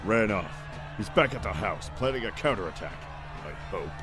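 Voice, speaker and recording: Man acting a gruff, animated squad commander, low-voiced. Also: normal speed, natural voice. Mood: angry, bitter. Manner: forceful, barking orders. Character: gruff, combative male commander of a security squad. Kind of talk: audiobook character acting. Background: prominent music with outdoor ambience.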